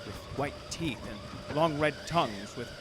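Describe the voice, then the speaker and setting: A man's voice: mid-range voice, lively intonation, normal speed, noisy background, close to an everyday voice. A man playing an earnest young lawyer's clerk, narrating his journal formally and intensely in a gothic radio drama. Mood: fearful wonder. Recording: loud storm and carriage effects behind.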